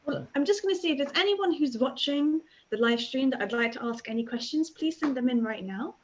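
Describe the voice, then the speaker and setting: Brisk woman hosting a live stream. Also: high-pitched, lively intonation. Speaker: host. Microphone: video call. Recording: lo-fi video call audio.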